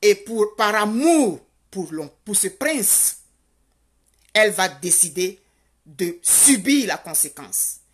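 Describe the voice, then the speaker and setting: Lady going off on a passionate rant, slow, husky, kinda high voice, keeps repeating herself. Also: sing-song. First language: Godié. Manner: rhetorical questions, insistent repetition, rising to fervent. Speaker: commentator. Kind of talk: impassioned social commentary monologue. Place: Canada.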